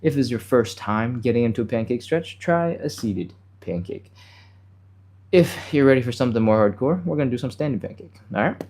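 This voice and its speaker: Male fitness instructor for a livestream. Soft-edged, relaxed tone, a touch nasal from a slight cold, conversational step-by-step guidance. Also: mid-range voice, fairly quick. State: mild cold, a little sniffly. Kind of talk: casual live stretching instruction.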